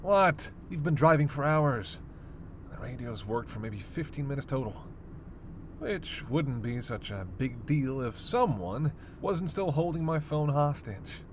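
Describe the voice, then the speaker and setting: A sardonic male vampire, acted by a man for a roleplay audio drama. His mid-range voice teases and needles with theatrical exasperation and casual swearing. Clear vehicle noise runs behind him. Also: medium pitch, expressive, a little slow, close to an everyday voice. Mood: exasperated, teasing. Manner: sarcastic, needling, theatrically exasperated, foul-mouthed. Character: snarky, teasing immortal male vampire passenger.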